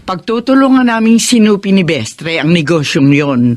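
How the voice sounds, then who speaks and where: A woman playing a loving, excitable middle-aged mother in a Filipino radio drama. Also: on the low side, normal speed. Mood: friendly, confiding. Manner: expressive, exclaiming.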